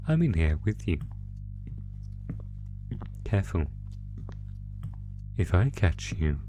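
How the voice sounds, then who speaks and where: Slow, deep, sadistic monster. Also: male voice, a little mellow, heavily acted. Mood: evasive, menacing. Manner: slow, theatrical, taunting, with drawn-out pauses. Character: sadistic, gloating male monster stalking its prisoner through a maze. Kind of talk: ASMR horror roleplay audio drama.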